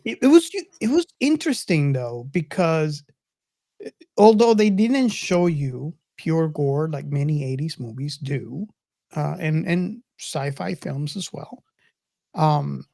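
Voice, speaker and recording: A man's voice: a little high, animated, slow, creaky, mellow, no echo. A middle-aged man co-hosting a podcast in casual film discussion, reflective and often searching for his words. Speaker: podcast co-host.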